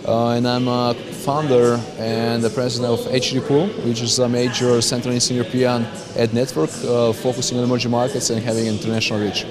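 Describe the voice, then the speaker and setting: A man with a Slovenian accent answering interview questions as a company founder, halting as he searches for words. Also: mid-range voice, flat delivery, music in the background. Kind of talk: interview answers at a business conference. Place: crowded conference venue. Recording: busy event with loud crowd chatter. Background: loud crowd chatter.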